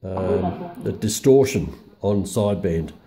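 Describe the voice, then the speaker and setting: A man's voice: deep voice, expressive, takes it slowly, a crowd in the background. A man presenting a casual, unscripted product walkthrough in a hesitant, matter-of-fact way. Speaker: presenter of a radio review video.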